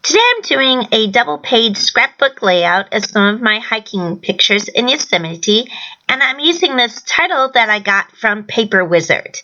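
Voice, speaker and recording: A woman with a bright, forward voice, a little hoarse from a sore throat, talks through a scrapbooking tutorial. She is chatty, explains each step plainly and restarts a phrase now and then. The recording is dull and lo-fi. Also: medium pitch. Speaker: crafting channel host. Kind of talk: casual scrapbooking tutorial voice-over.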